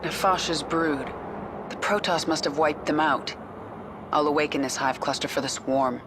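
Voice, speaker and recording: A woman's voice: low-pitched, normal speed, background noise, lightly acted. An actress playing a hard, bitter and determined queen in a video game, with a terse, commanding delivery. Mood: assured.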